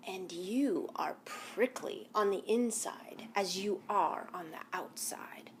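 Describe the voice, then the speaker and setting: A woman voicing a calm male coyote in a children's storybook read-aloud, speaking in a whisper. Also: medium pitch, slow pace, pitched below the performer's own voice. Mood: sly.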